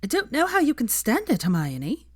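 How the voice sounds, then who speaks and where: Woman voicing a confident, lively young Italian woman. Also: high-pitched, normal speed, breathy edge, dry recording, studio quality, pitched above the performer's own voice, exaggerated voice. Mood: disdainful.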